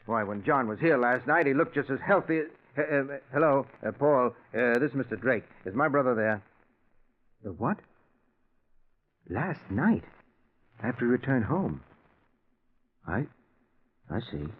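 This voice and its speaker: An actor playing a skeptical, anguished middle-aged father in a 1940s radio suspense drama, with theatrical, emphatic delivery that turns halting when he is shaken. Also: mid-range voice, lively intonation. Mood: stunned, halting. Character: skeptical, anguished father, stubborn and easily agitated. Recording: muffled vintage 1940s radio recording.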